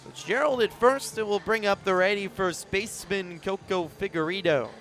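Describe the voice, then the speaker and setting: A man's voice: high voice, moderate pace, fairly bright tone. A man doing live radio play-by-play commentary of a baseball game as the announcer. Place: broadcast position at an outdoor ballpark in the evening.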